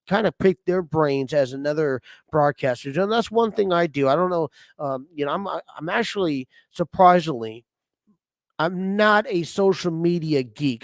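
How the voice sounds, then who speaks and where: A man hosting a casual sports podcast, his voice a bit raised in pitch and a little hoarse from a lingering cold. He rambles through announcements with plenty of fillers and restarts, recorded close on a desk mic in a dry, dead room. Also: no echo. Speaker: podcast host.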